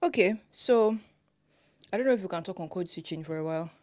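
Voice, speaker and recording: Nigerian woman, lively podcast chat. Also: fairly low pitch, fast, no echo, clean studio sound. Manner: animated, laughs often, quotes people in put-on voices. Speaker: podcast co-host. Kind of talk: casual chat.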